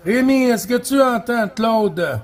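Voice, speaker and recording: A man's voice: extremely high pitch, lively intonation, takes it slowly. A man with a Quebec French accent chatting casually and amiably as a CB radio operator, often repeating his phrases. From Quebec, Canada. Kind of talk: casual radio contact chat. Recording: noisy CB radio session, clear background hiss.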